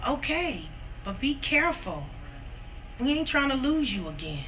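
Concerned young sister, bright high voice. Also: female voice, very animated, a little slow, slight background noise, heavily acted, rough recording. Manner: concerned, colloquial. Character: caring, worried younger sister from the street. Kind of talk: video game cutscene acting.

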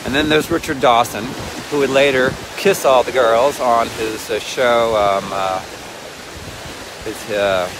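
A man's voice: medium pitch, fairly even intonation, measured pace. Chatty man vlogging on a windy shore. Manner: chatty, wandering, self-mocking. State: cold. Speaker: vlogger and ministry leader. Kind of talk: casual rambling selfie vlog. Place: outdoors on a windy lakeshore with waves. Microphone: phone held at arm's length. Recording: wind and surf noise on phone audio. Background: waves and wind.

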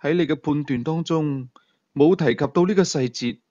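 A man's voice: on the high side, moderate pace, dark tone, dry recording, dull audio, natural voice. A man with a Hong Kong Cantonese accent voicing a stern, stubborn police commander in an audiobook, earnest and argumentative. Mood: serious, challenging. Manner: earnest, insistent.

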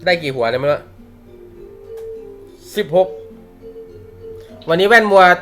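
A man's voice: on the high side, lively intonation, a little slow, fairly bright tone, faint music behind. A young man teaching a manga class in a casual, joking way, laughing often and using slang. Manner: chatty, laughs often, uses slang and swears. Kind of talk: casual live class talk.

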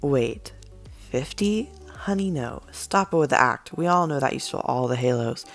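Low-voiced no-nonsense female detective, woman acting. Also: expressive, moderate pace, faint music behind. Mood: stern, skeptical. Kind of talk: amateur fan film voice acting.

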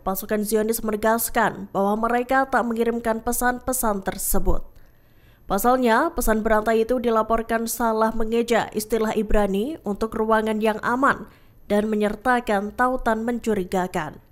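A woman reads scripted news narration as a presenter, in a formal, measured manner. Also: a little high, fairly even intonation.

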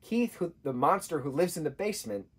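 A man in his thirties, husky-voiced, giving an animated casual book review from a sofa indoors. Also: a little high, fairly quick. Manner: animated, gestures a lot, acts out quotes in changing voices. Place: indoor room, sofa with patterned throw.

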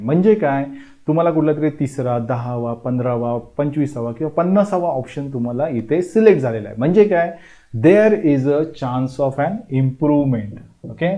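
Man teaching admissions, mellow voice. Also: medium pitch, animated, moderate pace. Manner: emphatic and reassuring advice. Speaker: admissions counsellor teaching at a whiteboard. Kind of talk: classroom lecture video. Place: indoor classroom in front of a whiteboard. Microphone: lapel mic.

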